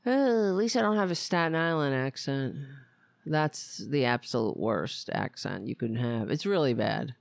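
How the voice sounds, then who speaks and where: Wry host with very lively, swooping pitch. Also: moderate pace, creaky, no echo, clean studio sound. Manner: wry, self-mocking, exasperated. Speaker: host of a political talk show. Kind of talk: casual rambling political commentary.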